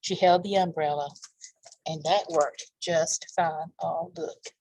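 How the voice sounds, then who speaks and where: A woman's voice: deep voice, fairly even intonation, slow, a little mellow, breathy edge, poor audio. A woman reading aloud to young children as a storytime host, with chatty asides and questions for her listeners.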